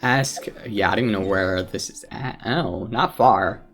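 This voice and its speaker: A man's voice: fairly low pitch, a little slow, a bit bright, faint music behind. A man giving casual, informal playthrough commentary, rambling along as he hosts a gaming video. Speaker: gaming channel host.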